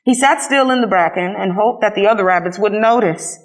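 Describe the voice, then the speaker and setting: A woman reading a children's story aloud as a scripted narration, in an expressive storytelling manner. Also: mid-range voice, fairly quick, rough recording. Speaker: storybook reader.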